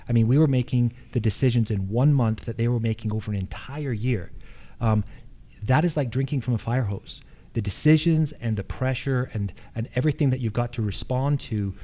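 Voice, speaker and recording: A man's voice: on the low side, warm tone. An American man speaking as an incumbent candidate, making his case at a public forum. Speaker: incumbent official seeking re-election. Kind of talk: campaign forum answers. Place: live candidate forum on a college campus.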